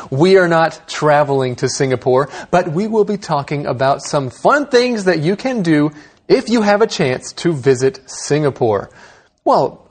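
A man who grew up in Taiwan, hosting an English lesson and explaining things slowly and clearly for learners. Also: on the high side, a little mellow. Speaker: host.

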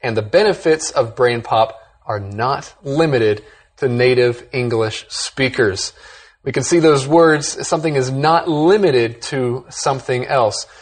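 An English lesson host reads vocabulary explanations and example sentences in an upbeat way. The voice is breathy and a little husky, and the host talks like a teacher. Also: medium pitch, a little slow. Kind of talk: scripted English lesson narration.

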